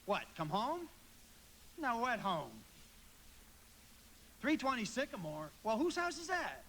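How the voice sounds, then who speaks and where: An earnest young small-town man in a live radio drama. His voice runs high, with a folksy, halting urgency. The recording carries a slight hiss. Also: sing-song, bright, forceful tone, heavily acted. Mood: flustered. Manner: pleading, folksy, stammering. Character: earnest, impassioned young small-town male loan manager.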